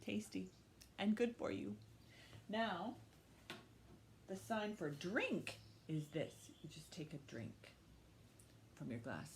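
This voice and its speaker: A woman's voice: mid-range voice, lively intonation, slow pace, pauses often, slight background noise. A woman hosting storytime for young children, talking to them in simple questions and short phrases and reading aloud expressively. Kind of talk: children's storytime, talk and picture-book read-aloud.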